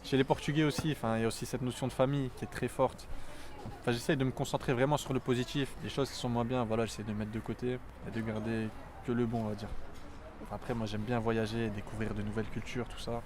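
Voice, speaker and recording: A man in his twenties from France, talking earnestly and reflectively in a documentary interview, often pausing to think. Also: mid-range voice, flat delivery, background noise. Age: 20s.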